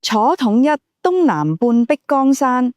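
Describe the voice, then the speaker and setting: Woman narrator as learned young noblewoman, Cantonese, composed, didactic, fairly high pitch, lively intonation, audiobook dialogue. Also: unhurried, no room sound, clean studio sound. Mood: calm, explaining.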